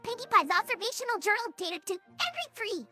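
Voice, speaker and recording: A synthetic female voice playing an excitable young pony detective in a fan cartoon audio drama, chirpy and self-important. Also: very high-pitched, fast, soft background music, exaggerated voice. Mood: secretive, eager. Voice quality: synthetic cartoonish text-to-speech voice.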